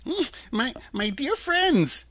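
A man's voice: very high voice, sing-song, slow pace, bright, forceful tone, dry recording, voice pushed up, heavily acted. A man voicing a meek little old humbug wizard in a storybook, trembling and stammering fussily. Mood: flustered. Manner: stammering, fussy, chuckling.

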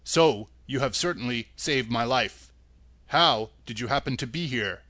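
A mournful, polite tin man speaks low and unhurried, grateful and weary, as a male reader voices him. The sound is close and dry. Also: sharp tone, no echo. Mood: sad polite.

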